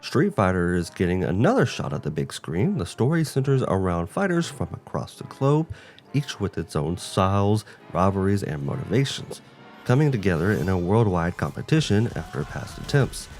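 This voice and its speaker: A man's voice: low-pitched, sing-song, normal speed, a little mellow. A man co-hosting a video game show, reading a scripted presentation. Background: background music.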